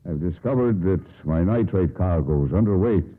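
An actor playing an anxious older sea captain and father, halting and formal, in 1950s radio drama style. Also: deep voice, animated. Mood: flustered, formal. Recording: old radio broadcast, narrow band.

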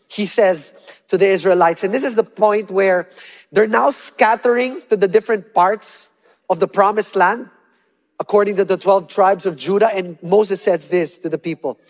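A man in his thirties preaching in a high, bright voice. He swings between loud, punchy lines and soft, hushed ones, and calls out to the congregation for answers. His voice rings with the long reverb of a large hall. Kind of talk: sermon with call-and-response.

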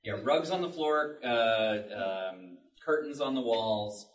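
A man's voice: mid-range voice, slow. A man on a panel explaining things informally in plain words. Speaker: panelist, audio drama maker. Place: public library panel room. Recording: echoey room, distant mic.

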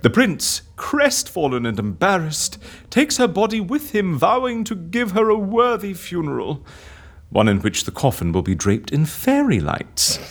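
An actor playing an excitable, scheming man in a comedy audio drama, with a theatrical, musing and breezily unconcerned delivery. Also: high-pitched, unhurried, exaggerated voice. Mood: gleeful scheming. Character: excitable scheming man running a death-faking agency.

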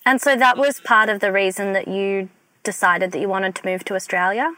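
A woman producer interviewing a guest by phone, asking polite, gentle questions. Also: medium pitch, steady tone, a little fast, slight background noise.

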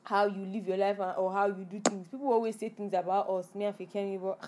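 A woman's voice: mid-range voice, little pitch movement, fast, darkish tone. A young woman with a Nigerian accent, co-hosting a podcast in casual chat, blunt and opinionated, dropping Nigerian slang. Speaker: podcast co-host.

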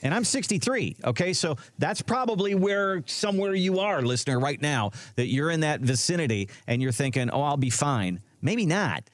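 A man in his sixties hosting a casual financial radio show, chatty and lively. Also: on the high side, normal speed, hardly any pauses, dry recording. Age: 60s. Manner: lively, chatty, acts out his own thoughts. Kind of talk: casual radio talk and ad reads.

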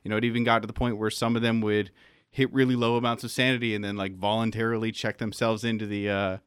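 A man's voice: fairly low pitch, little pitch movement, fast, smooth, no room sound, studio quality. A man chatting casually on a panel as a game master, explaining things in an informal way. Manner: explanatory, peppers talk with "like". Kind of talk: casual panel chat about tabletop gaming.

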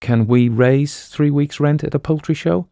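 A burly, gloomy sidekick who frets and pleads, played by a man reading aloud. He pitches it a little above his own gravelly low voice, recorded dry and close. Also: moderate pace, warm tone, dry recording. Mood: worried.

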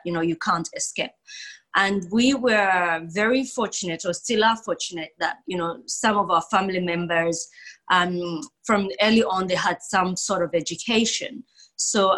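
A woman's voice: medium pitch, fairly bright tone. A woman from Zambia, an author and philanthropist, telling her life story as a podcast guest. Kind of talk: interview storytelling.

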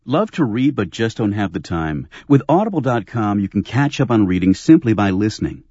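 A man's voice: on the low side, big pitch swings, brisk, dark tone, slightly rough, no room sound. A man announcing a scripted radio advertisement. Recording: dry, slightly dull radio stream.

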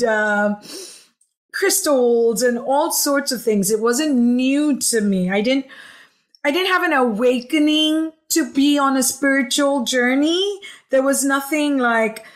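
Chatty, higher-voiced woman in her forties. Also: a little slow. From Hong Kong. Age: 40s. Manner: enthusiastic, chatty, with frequent "like" and "um". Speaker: podcast guest, healing coach. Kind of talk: conversational storytelling interview.

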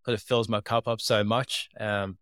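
A young man from Liverpool, now living in Australia, tells his story in a steady, earnest way. His voice is low and clear, with very little rise and fall, and it sits close and dry in the recording. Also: moderate pace, dry recording. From England. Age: 20s. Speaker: podcast guest. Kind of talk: casual interview talk.

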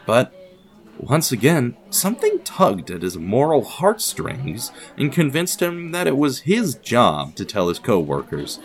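A man's voice: medium pitch, wildly swooping pitch, a little slow. A man reading scripted narration as the narrator of an audio production.

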